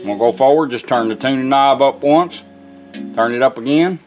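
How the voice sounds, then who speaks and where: A man walks through a product demonstration from inside a pickup cab. His voice sits at a middle pitch, and his manner is casual, folksy and plainspoken as he instructs step by step. Music plays faintly in the background. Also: moderate pace, poor audio. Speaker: presenter demonstrating a vintage truck radio.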